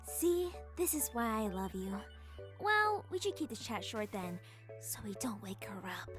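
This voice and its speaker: A woman's voice: fairly high pitch, sing-song, unhurried. A woman voicing a hyper, caring young female best friend in a visual novel, perky and affectionate, with music behind. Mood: gentle, caring. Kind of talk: visual novel character read-aloud.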